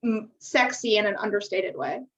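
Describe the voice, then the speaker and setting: A woman's voice: mid-range voice, animated, moderate pace. An American woman co-hosting a podcast, chatting casually and candidly with strong opinions and casual swearing. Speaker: podcast co-host. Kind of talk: casual chat recapping a reality TV show. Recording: muffled, distant-sounding microphone.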